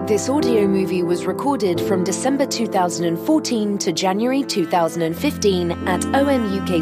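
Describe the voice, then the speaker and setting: A woman reading scripted credits as an announcer, in a formal, measured manner. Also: medium pitch. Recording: loud guitar music under voice.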